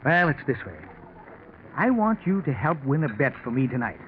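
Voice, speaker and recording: A man's voice: mid-range voice, sing-song, moderate pace, natural voice. An actor playing a scheming middle-aged businessman, nervous and falsely genial, with stammering, over-assuring speech in 1940s radio drama style. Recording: muffled, faintly noisy 1940s radio recording.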